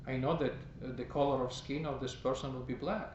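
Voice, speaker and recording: Priest, male, mid-pitched, unhurried halting sermon delivery with repeated words; echoey, muffled, noisy church recording. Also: fairly even intonation. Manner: slow, halting, with many pauses and fillers. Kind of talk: teaching sermon. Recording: roomy, dull, noisy recording.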